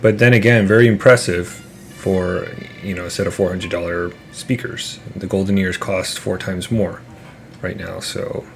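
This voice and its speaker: A man giving a casual, unscripted gear review as channel host, halting and rambling, often repeating his words. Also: low voice, fairly even intonation, vocal fry. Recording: roomy echo, distant mic, faint music.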